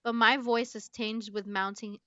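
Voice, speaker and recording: A woman podcast host reading a novel aloud dramatically, with abrupt loud emphasis and casual asides. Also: on the high side, level tone, normal speed, no echo, dull audio. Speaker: book club podcast host.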